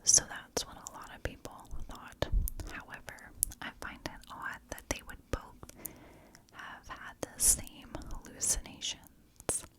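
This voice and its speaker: A woman hosting an ASMR true crime show, telling the story softly and deliberately, with pauses between phrases. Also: poor audio.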